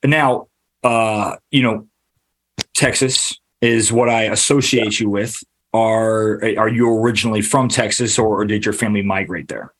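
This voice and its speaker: A man hosting a podcast interview, asking long, halting questions full of restarts and fillers. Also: fairly low pitch, steady tone, low-quality recording. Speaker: podcast host. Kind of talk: casual interview.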